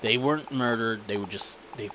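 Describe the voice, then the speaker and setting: A man's voice: on the low side, normal speed, noisy background. A man giving casual, rambling commentary and stories while playing a game, with an irreverent, sweary manner. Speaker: gaming video creator narrating gameplay.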